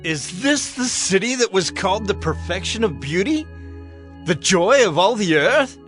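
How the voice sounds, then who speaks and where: A scornful onlooker taunting a fallen city. His pitch is high and leaps about as he exclaims in mockery. Faint music plays under this biblical audio drama. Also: very animated, unhurried, fairly bright tone, full character voice. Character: mocking passer-by jeering at a fallen city. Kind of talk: dramatized audio Bible acting.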